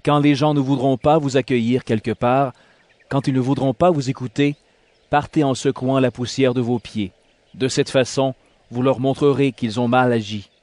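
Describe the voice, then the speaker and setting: An actor playing a calm, authoritative male teacher in an audio Bible drama, measured and instructive. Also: mid-range voice, dry recording, lightly acted. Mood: instructing.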